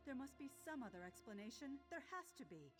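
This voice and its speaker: A loyal, insistent retainer. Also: female voice, sits high, very animated, fairly quick, over music. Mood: upset. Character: loyal woman retainer, distressed.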